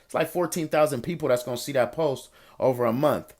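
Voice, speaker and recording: An American man with an average-pitched voice talks as a financial coach on a group call. He answers questions informally in street slang, encouraging listeners and repeating phrases to drive a point home. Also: fairly quick, darkish tone. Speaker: host of a financial coaching call, investor and entrepreneur.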